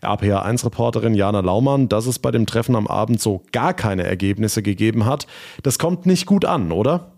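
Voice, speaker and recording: A man with a fairly low voice hosts a daily regional news podcast. He reads the headlines and questions his reporters in a friendly, conversational tone. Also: lively intonation, fairly quick, creaky, a little mellow.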